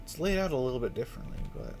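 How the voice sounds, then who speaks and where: A woman's voice: extremely low pitch, big pitch swings, rapid-fire, a little mellow. A woman game streamer giving casual, sweary, emotionally reactive live commentary. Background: game music.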